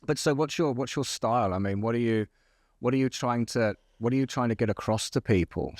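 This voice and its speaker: A man's voice: mid-range voice, a little fast, a little mellow, no echo, studio quality. A man from hosting a podcast interview, asking curious questions with stumbling restarts. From London. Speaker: podcast host. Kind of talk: casual interview talk.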